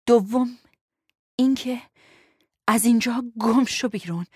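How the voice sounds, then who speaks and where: A woman's voice: fairly high pitch, darkish tone, dry recording, clean studio sound, natural voice. A woman voicing a bossy, desperate and injured young woman in an audiobook story, snappish and strained. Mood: strained, urgent. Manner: snappish, strained through gritted teeth.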